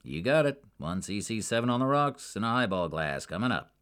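A man's voice: fairly low pitch, big pitch swings, a little fast, fairly bright tone, voice pushed up. A man voicing a friendly male bartender taking an order in a breezy, upbeat way.

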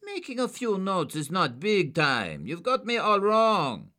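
A man's voice: a little high, big pitch swings, moderate pace, fairly bright tone, voice pushed up. A man voicing a wary small-time male counterfeiter who is nervous, evasive and scornful of the police. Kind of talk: audiobook character voice.

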